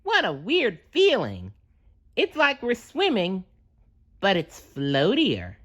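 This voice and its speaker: A woman voicing an excitable, naive male cartoon sea sponge in a storybook, earnest and dramatic in delivery. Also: medium pitch, slow pace, muffled recording, voice pushed up, heavily acted. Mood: amazed.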